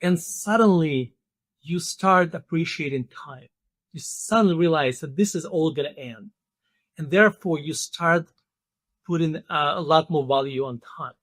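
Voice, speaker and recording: A man in his fifties, a podcast guest explaining ideas thoughtfully with many pauses and restarts. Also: a little high, fairly bright tone, no echo. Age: 50s. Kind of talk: interview conversation.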